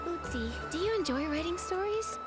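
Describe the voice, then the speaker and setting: A young woman playing a kind, gentle and determined heroine in a video game, speaking sincerely and with measured politeness. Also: very high voice, lively intonation. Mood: gentle, curious. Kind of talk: video game character acting. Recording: loud music behind the voice. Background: game music.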